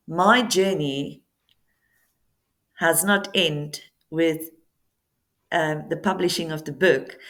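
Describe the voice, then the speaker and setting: Slow, hesitant woman. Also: low-pitched. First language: Afrikaans. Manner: slow, hesitant, with many ums and pauses. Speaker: author presenting her book. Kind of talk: casual talk to camera. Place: Namibian coastal town.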